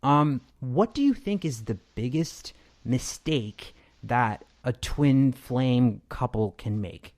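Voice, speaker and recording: A man's voice: medium pitch, expressive, slow pace, darkish tone. A man hosting an interview in a conversational style, reflective and often searching for his words. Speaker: podcast host, interviewer.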